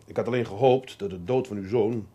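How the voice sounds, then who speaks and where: Radio play detective, male: lowish voice, grave and measured, blunt yet decent and courteous. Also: warm tone, husky. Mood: grave, firm. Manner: measured, polite, hesitant before bad news. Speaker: actor in a crime radio drama. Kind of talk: Dutch radio play acting.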